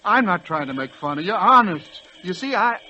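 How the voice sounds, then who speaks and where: A man playing a timid, excitable, polite fellow who is flustered and stammers, in 1940s radio acting style. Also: sits high, normal speed, exaggerated voice. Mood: flustered, apologetic. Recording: dull, noisy vintage radio recording.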